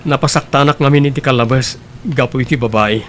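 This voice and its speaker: A man's voice: mid-range voice, fairly quick, fairly bright tone, a little hiss or hum, close to an everyday voice. A man playing an earnest, pleading young suitor in a radio drama, a reformed womanizer insisting he is sincere.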